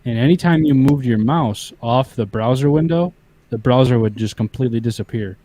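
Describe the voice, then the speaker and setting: Man, mellow dark tone, relaxed, casual guest chat. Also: medium pitch, brisk.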